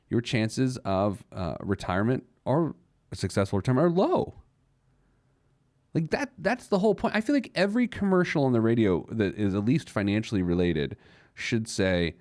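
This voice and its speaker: A man's voice: sits low, darkish tone, no room sound, studio quality. A man hosting a personal finance radio show off the cuff, emphatic and often answering his own rhetorical questions. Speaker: radio host, financial planner.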